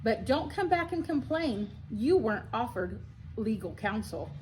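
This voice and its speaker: Bossy, sharp-tongued storybook girl, played by a woman reader, slightly high voice, faint background noise. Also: sing-song, measured pace, rough recording. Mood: sharp, insistent. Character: bossy, sharp young girl organizing a kids' court.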